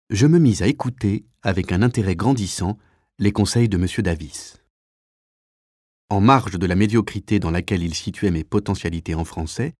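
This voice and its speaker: Low-pitched male literary narrator, dry sound. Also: darkish tone, husky, no echo. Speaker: audiobook narrator.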